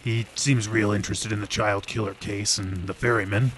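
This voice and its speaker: An actor playing a gruff, weary, hard-drinking police detective in an old time radio drama. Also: male voice, on the low side, fairly even intonation, normal speed, a bit bright, slight background noise, close to an everyday voice.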